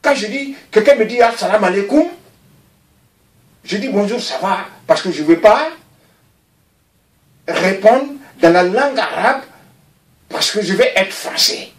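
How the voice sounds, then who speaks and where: Animated man, rambling, voice swinging up and down. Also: high-pitched, a little slow, long pauses, roomy sound, recorded from a distance. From Mali. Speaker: interviewee. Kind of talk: recorded video interview.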